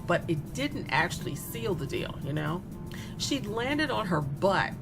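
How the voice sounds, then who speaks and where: A woman's voice: on the low side, gravelly. A woman playing a gleeful, remorseless young killer in a horror audio story, chatty and flippant, stretching words for emphasis. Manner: conversational, flippant, giggly, with drawn-out emphasis. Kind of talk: dramatic first-person monologue in a horror audio story. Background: music.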